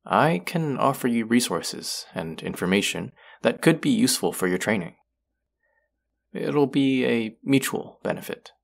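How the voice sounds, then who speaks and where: A man's voice: mid-range voice, lively intonation, unhurried, vocal fry. A young man acting as an earnest, wry young vampire in an intimate audio roleplay drama. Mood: earnest pleading.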